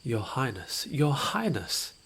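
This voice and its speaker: A man's voice: mid-range voice, sing-song, slow pace, a bit bright, exaggerated voice. A man playing a smug, nonchalant rogue informant in a fantasy audio roleplay, with a theatrical, mocking, playfully menacing way of talking. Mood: teasing. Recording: noisy outdoor night ambience with crickets. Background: crickets and night insects.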